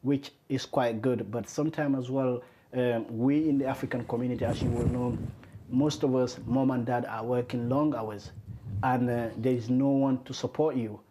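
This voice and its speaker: Brief: male host, mid-pitched, mellow warm tone, chatty interviewer with many "you know"s. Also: fairly even intonation, moderate pace, a little hiss or hum, low-quality recording. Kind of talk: interview questions and comments.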